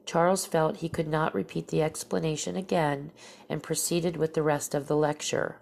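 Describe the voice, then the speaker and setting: A woman's voice: deep voice, level tone, normal speed, gravelly, darkish tone, a little hiss or hum. A woman hosting a podcast, casually telling encounter stories in a stuffy, nasal voice from her allergies. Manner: rambling, with fillers and restarts. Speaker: podcast host.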